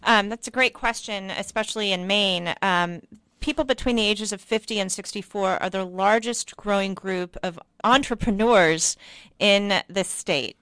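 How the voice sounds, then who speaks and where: A woman's voice: medium pitch, moderate pace, a bit bright, smooth, no echo. A woman, a state director of an advocacy group, explaining programs as a radio guest in an earnest way with many ums.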